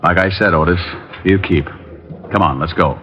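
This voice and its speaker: A man's voice: low voice, moderate pace, slight background noise, close to an everyday voice. An actor playing a cool, sardonic male private detective with breezy wisecracks in an old radio drama. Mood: businesslike. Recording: dull old radio recording.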